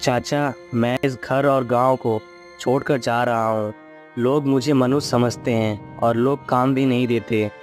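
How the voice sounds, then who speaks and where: A man's voice: mid-range voice, steady tone, unhurried, faint music behind, lightly acted. A man as a plaintive, luckless young villager. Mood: downcast, resolved. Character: sorrowful, luckless poor young village son. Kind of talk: acted dialogue in a moral story cartoon.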